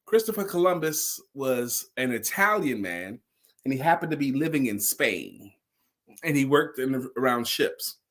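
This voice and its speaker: A man's voice: mid-range voice, big pitch swings, measured pace, a bit bright. A man telling a story in an informal, conversational way, emphatic and repeating key phrases to drive them home. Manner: acts out dialogue in his own retelling. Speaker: storyteller and sales speaker.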